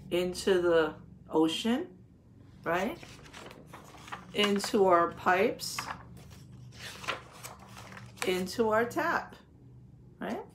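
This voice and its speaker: A woman teacher reading a picture book aloud to young children, explaining as she goes and pausing to ask questions and confirm answers. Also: lowish voice, slight background noise.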